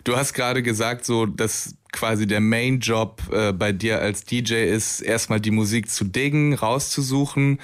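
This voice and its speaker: A man hosting a campus radio show, asking a guest casual questions with a relaxed, conversational manner and some fillers. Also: fairly low pitch, moderate pace, hardly any pauses. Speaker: radio host.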